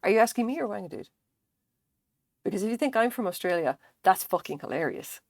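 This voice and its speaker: A woman's voice: medium pitch, lively intonation, a little fast, no room sound, studio quality. A woman in her thirties with an Irish accent chatting casually on a live stream, rambling, swearing freely and laughing often. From Ireland. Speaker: crochet streamer.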